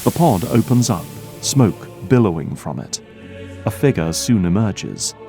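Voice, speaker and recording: A man's voice: on the low side, sing-song, unhurried, creaky, over music. A man narrating an audio drama, reading scripted scene descriptions in a dramatic storytelling style.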